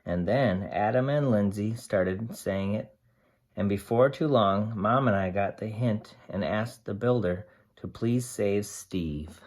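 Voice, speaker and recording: A storyteller reading a picture book aloud to young children in a deliberate, expressive way, pausing to explain simply. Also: deep voice. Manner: slow, deliberate, explains and asks simple questions.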